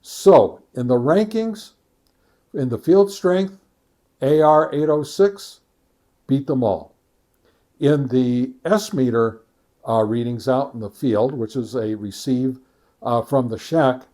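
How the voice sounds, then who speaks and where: Halting, deliberate man reviewing gear. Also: medium pitch, mellow. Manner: halting, deliberate, frequent hesitations and self-corrections. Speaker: host of a radio gear review channel. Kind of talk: informal product review summary.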